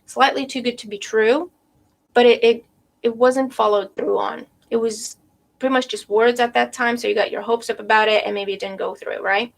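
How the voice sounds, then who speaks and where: A woman's voice: on the high side, fairly even intonation, normal speed, gravelly. A woman giving a live tarot reading to viewers, losing her voice with a cold, thinking aloud and often checking in with "right?". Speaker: tarot reader.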